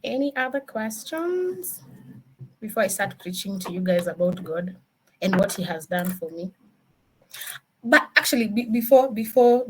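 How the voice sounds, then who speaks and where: A woman with a Kenyan accent giving an informal motivational career talk as a senior engineer, mixing in Swahili with animated, emphatic rhetorical questions. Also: medium pitch, husky, low-quality recording. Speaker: senior DevOps engineer, guest speaker.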